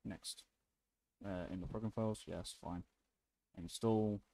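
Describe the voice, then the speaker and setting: A man giving a casual, unscripted software tutorial in an informal, offhand way as the presenter. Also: on the low side, steady tone, a little slow, gravelly.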